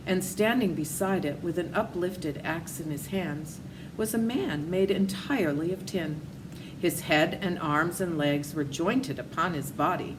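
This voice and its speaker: A woman's voice: lowish voice, noisy background. A woman reading a children's story aloud as its narrator. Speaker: storybook reader.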